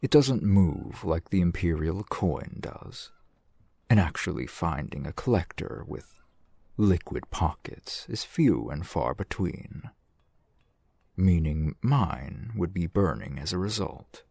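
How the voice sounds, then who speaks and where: Casting: actor for suave male shopkeeper and fence, fantasy ASMR roleplay. Deep, gravelly, measured, wry, recorded close and dry. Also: big pitch swings, a little mellow, no echo, heavily acted. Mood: sly, businesslike. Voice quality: gravelly, husky. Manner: measured, formal, wry, silky wording. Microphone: close microphone, dry sound.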